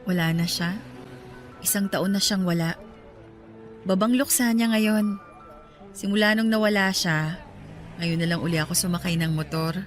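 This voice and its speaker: Casting: woman as a hesitant, soft-spoken widowed-in-spirit woman, faint music under. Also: fairly low pitch, normal speed, long pauses, lightly acted. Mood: wistful. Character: grieving woman, gentle and wistful. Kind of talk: radio drama acting.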